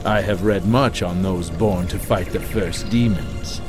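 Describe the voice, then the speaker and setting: Slow, imperious necromancer lord. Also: male voice, low voice. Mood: dignified, composed. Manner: formal, measured, commanding. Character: calm, imperious necromancer high lord, dignified and cold. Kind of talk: fantasy game acting. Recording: music behind the voice. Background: game music.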